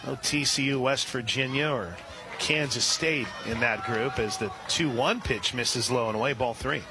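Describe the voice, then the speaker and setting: A man calling a softball game on the radio, describing each pitch and reading sponsor spots between plays. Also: moderate pace. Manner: rises sharply and loud on big plays. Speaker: radio play-by-play announcer. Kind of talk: live softball play-by-play.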